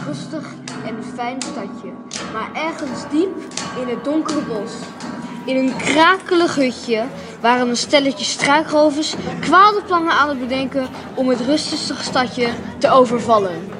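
A woman's voice: high-pitched, lively intonation, a little slow, over music. A woman narrating a storybook-style tale in an amateur radio play. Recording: clearly noisy homemade recording with clicks.

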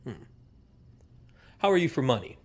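An actor playing a proud, fussy old family servant who scolds in formal, wordy speech in a western audio drama. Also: male voice, medium pitch, very animated, moderate pace, breathy edge. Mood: stern, probing. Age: older.

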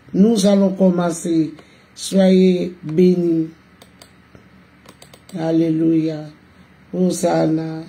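Middle-aged host, slow and mellow, blessing her listeners on a phone live stream. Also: on the low side. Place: small room indoors.

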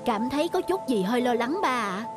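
High-pitched, earnest young man played by a woman. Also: expressive, fairly quick, music in the background, lightly acted. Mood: uneasy. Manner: respectful, earnest. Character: earnest young man, devoted fisherman's son. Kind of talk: cartoon folk-tale acting.

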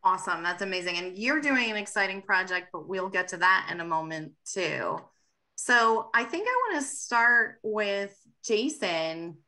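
Bright, upbeat woman moderating a panel. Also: mid-range voice, lively intonation, measured pace. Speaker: museum host and moderator. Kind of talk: moderating a video call panel. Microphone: video call webcam.

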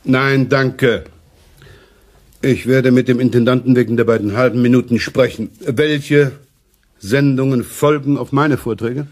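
A self-important man of letters acted by a man in a radio play. He speaks at medium pitch with a pompous, imperious bearing, as if his time were precious. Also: fairly even intonation, a little slow, natural voice. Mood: irritated, haughty. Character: pompous, large, self-important male professor and essayist.

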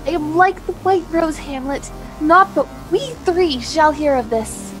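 A woman's voice: high voice, unhurried, soft background music, rough recording. A woman playing a giddy, whimsical, flower-loving young noblewoman with playful archaic phrasing in an audio drama. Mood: devoted.

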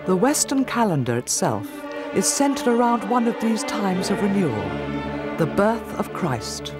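A woman reading a documentary narration in a measured, expressive way. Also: medium pitch. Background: music.

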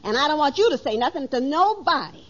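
A determined, fed-up wife in a vintage radio comedy. Her high voice swings with firm emphasis, on a muffled old recording. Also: sing-song, quick pace, a bit bright. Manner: firm, emphatic, folksy grammar. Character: headstrong, scheming wife fed up with lazy husband. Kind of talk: old-time radio sitcom acting.

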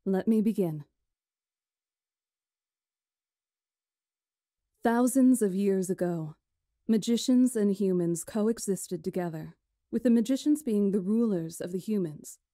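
A woman playing a stately magician in a visual novel, recounting history in a measured, formal, solemn way. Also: mid-range voice, dark tone, no room sound, clean studio sound, close to an everyday voice. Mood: solemn, proud. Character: stately female magician elder recounting ancient history to lords. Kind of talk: visual novel character acting, storytelling.

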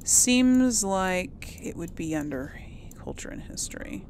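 A woman's voice: mid-range voice, expressive, slow, background noise. A woman hosting a casual let's-play, reading lore entries aloud and thinking aloud with many ums.

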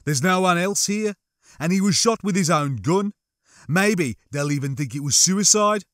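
A man voicing an alarmed, excitable soldier in an audiobook, agitated and protesting. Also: high voice, moderate pace, no room sound, studio quality, voice pushed up. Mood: anxious, hopeful.

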